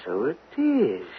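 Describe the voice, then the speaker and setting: A man's voice: medium pitch, breathy edge, full character voice. An actor playing a folksy, skeptical small-town sheriff in a radio drama, bemused and drawling out his words. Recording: muffled radio broadcast, faint noise.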